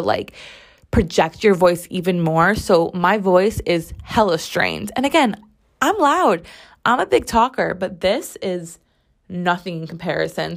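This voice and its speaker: A young woman in her twenties talks through her podcast in a loose, rambling way, punching her points hard and swearing without a second thought. Her voice sounds strained and raw, worn down to a hoarse scratch. She is recording from a bathroom at home. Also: fairly low pitch. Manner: rambling, emphatic, swears freely. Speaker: podcast host. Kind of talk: casual solo podcast chat.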